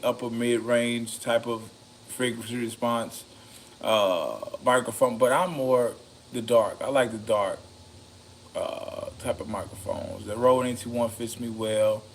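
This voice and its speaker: A raspy-voiced man rambling casually about microphones, slow and meandering, with many ums and a slightly loaded, loose delivery. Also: medium pitch, steady tone, a little hiss or hum. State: a bit intoxicated. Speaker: home studio vlogger reviewing microphones. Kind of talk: rambling casual talk to camera.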